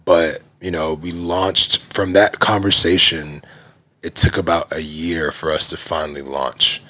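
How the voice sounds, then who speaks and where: A man in his thirties, a guest telling his business story in relaxed casual talk, full of "you know" and "like". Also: low voice, a little slow. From Nigerian heritage. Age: 30s. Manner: relaxed, heavy use of fillers like "you know" and "like". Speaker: guest, fitness brand founder.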